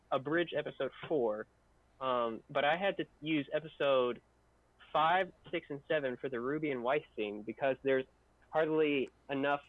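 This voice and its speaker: Male stream co-host and editor, casual conversational delivery, mid-range pitch, heard over a narrow tinny call line. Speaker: video editor of a fan abridged series, stream co-host. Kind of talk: casual group chat about editing.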